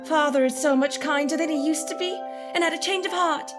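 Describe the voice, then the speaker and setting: Sweet little sister, played by a woman, very high. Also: a little fast, natural voice. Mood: joyful, loving. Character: Fan, sweet, delicate, joyful little sister. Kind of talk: theatrical radio play acting. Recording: music behind the voice.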